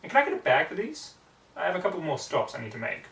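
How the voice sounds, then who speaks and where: A man voicing a brash, cocky young female pegasus pony from a story, hammy, blunt and excitable, in a roomy, distant recording. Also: high-pitched, fast talker, voice pushed up, exaggerated voice. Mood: smug, brash. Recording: roomy echo, distant microphone.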